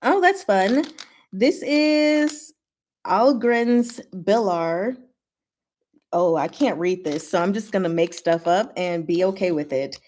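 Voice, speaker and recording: A woman's voice: mid-range voice, lively intonation, takes it slowly, darkish tone. A woman hosting a casual livestream, chatting with viewers in short, giggly asides. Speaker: livestream host.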